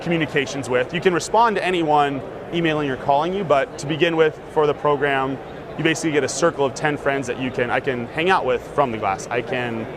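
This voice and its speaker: A man casually demonstrating a gadget in an interview, relaxed and full of fillers. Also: mid-range voice, fairly quick. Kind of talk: casual demo talk. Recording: noisy outdoor street sound.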